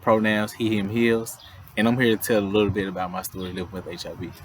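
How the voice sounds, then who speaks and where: Young Mississippi man, low voice, faint noise. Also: level tone, quick pace. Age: 20s. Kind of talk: interview testimony.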